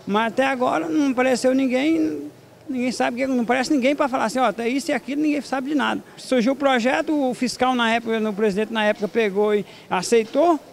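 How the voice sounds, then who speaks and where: Middle-aged Brazilian male vendor, very high gravelly voice, colloquial interview delivery in an open market hall. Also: quick pace, slight background noise. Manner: colloquial, repetitive phrasing. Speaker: market vendor and produce grower. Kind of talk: street interview, informal complaint. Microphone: handheld reporter microphone.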